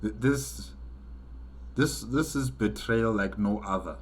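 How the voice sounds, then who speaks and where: A man hosting a reaction video, commenting off the cuff in an earnest, halting way and repeating phrases for emphasis. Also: on the low side, slight background noise.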